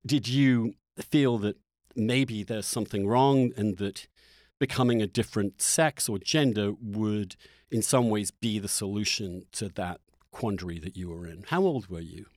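A man hosting a conversational interview, speaking in a halting way with restarted phrases and emphasis on key words. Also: on the low side, a little mellow, dry recording, clean studio sound. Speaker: host interviewing guests. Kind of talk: conversational podcast introduction and interview.

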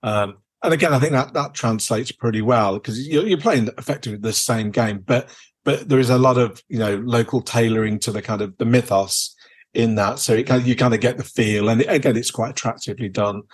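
A man with a husky, breathy-edged voice of medium pitch chats as a podcast host. His delivery is informal and unscripted, and he often qualifies what he says and circles back over his phrases. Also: fairly quick. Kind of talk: casual unscripted chat about board games.

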